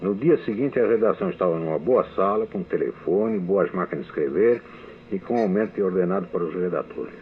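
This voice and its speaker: An older man reads his memoirs in a measured, recollecting way, his voice very deep. The sound is thin and tinny, like an old archival radio broadcast. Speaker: radio writer giving a testimony. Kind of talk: reading a memoir testimony.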